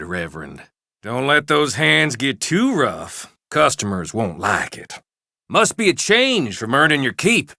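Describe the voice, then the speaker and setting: A sneering, bright-voiced outlaw bully. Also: male voice, medium pitch, creaky, dry recording, clean studio sound, exaggerated voice. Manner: mocking, insulting, drawn-out taunts. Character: mean, sneering male Old West gang outlaw bullying his companions. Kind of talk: video game character barks.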